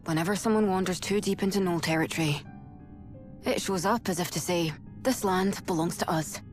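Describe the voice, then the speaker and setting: An actress playing a pragmatic, determined mercenary hunter in a video game cutscene, wry and matter-of-fact. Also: female voice, lowish voice, moderate pace, faint music behind, close to an everyday voice. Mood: grim resolve.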